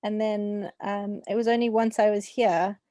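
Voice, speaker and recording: A woman's voice: medium pitch, little pitch movement, measured pace, dark tone, no echo, studio quality. A young adult woman with a South African accent, talking casually as an author being interviewed.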